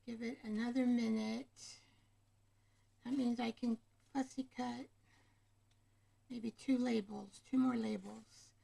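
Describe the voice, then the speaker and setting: Lady doing a craft livestream, higher voice w lots of fry, rambly and chatty with viewers. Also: fairly even intonation, takes it slowly, pauses often, dark tone, rough recording. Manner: rambling, chatty, often addressing viewers by name. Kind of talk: casual live chat with viewers. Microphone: camera microphone.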